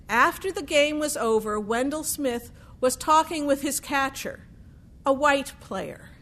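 High-pitched woman storyteller, slow and deliberate. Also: sharp tone. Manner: deliberate, dramatic pauses and repetition. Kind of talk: oral storytelling of a true story.

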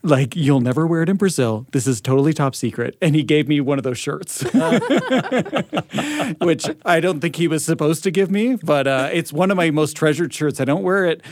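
Higher-voiced man chatting casually. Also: lively intonation, moderate pace, no echo, clean studio sound. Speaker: interview guest. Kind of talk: casual anecdote in conversation.

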